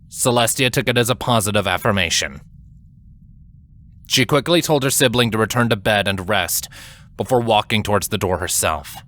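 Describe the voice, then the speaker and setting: A man reading scripted dramatic fiction as a narrator, in a measured, solemn storytelling manner. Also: fairly low pitch, a bit bright.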